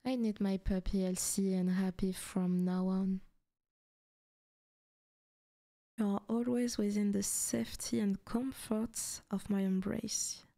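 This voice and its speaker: Soft, teasing demon girlfriend acted by a woman. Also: mid-range voice, level tone, a little slow, gravelly, hardly any pauses. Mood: teasing, affectionate. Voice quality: soft, often breaking into whispers. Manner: teasing, coaxing, possessive. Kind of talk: intimate ASMR roleplay.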